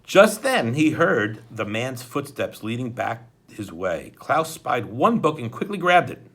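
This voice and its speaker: A man reading a children's book aloud in a dramatic, expressive way, occasionally stumbling over words. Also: medium pitch, unhurried. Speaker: storybook reader, school principal.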